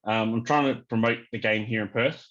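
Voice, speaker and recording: A man's voice: mid-range voice, brisk, fairly bright tone, muffled recording. A man from Australia with a New Zealand-tinged accent, hosting a casual interview in a hesitant, deferential manner. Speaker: interviewer, fan page host.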